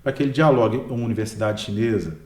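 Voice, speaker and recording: A man's voice: on the low side, racing, a little mellow. A man with a Brazilian Portuguese accent, a history professor explaining his work in an interview, who tags most sentences with a questioning "né?". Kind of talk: relaxed explanatory talk. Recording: roomy echo.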